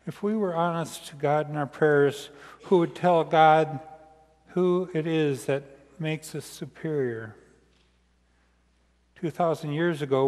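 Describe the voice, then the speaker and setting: An older American man delivers a sermon in a fairly high voice. He phrases deliberately and pauses often, as if reading from notes. His words ring with the long reverb of a church sanctuary. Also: a little mellow. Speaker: pastor preaching. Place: large church sanctuary, indoors, seated at the front. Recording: reverberant church hall sound.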